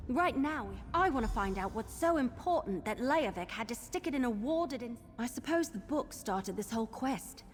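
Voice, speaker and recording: An actress playing an eager, plucky young female adventurer in a video game, chatty, excitable and expressive. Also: sits high. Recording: long hall reverb, game ambience noise.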